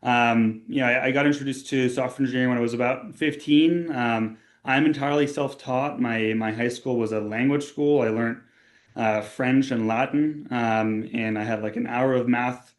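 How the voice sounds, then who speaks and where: A man's voice: mid-range voice, fairly even intonation, normal speed. A young man from Austria, a tech company co-founder, speaking as a guest in a casual podcast interview, talking things through with frequent fillers and restarts. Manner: conversational, many fillers and restarts.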